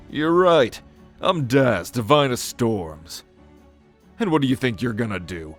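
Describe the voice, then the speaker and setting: A brash god of storms, voiced by a man in a fantasy audio roleplay. He speaks in a medium-pitched male voice, bluntly and irritably, with casual cockiness. Music plays softly behind him. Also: sing-song, slow pace. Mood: cocky scorn.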